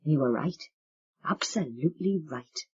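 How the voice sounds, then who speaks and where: Angry young man played by a woman narrator, loud and cutting, in a dull recording. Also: mid-range voice, very animated, moderate pace, husky. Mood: bitter. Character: bitter, furious young man accusing his family. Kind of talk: audiobook character reading.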